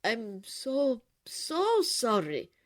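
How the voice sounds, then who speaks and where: A woman voicing an awkward, well-meaning middle-aged man in a storybook reading, in a hesitant, gentle manner. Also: on the high side, huge pitch swings, very slow, voice pushed up, heavily acted. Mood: sorry.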